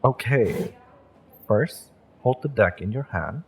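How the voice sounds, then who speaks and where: A man playing a gentle, encouraging magick shop owner and tarot reader in an intimate audio roleplay, speaking in a measured, mystical, reassuring way. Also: mid-range voice, animated, vocal fry, a little hiss or hum. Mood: calm, guiding.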